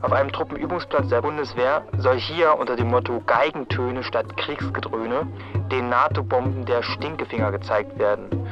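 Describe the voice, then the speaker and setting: A man reporting on location for radio in a wry narrating style, on a dull lo-fi recording. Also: medium pitch. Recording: dull lo-fi audio with music behind.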